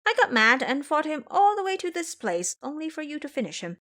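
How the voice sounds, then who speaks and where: A woman voicing a brash, fiery monkey warrior in an audio drama, with a taunting, forceful manner. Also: sits high, very animated, fairly quick, voice pushed up. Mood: eager, brisk.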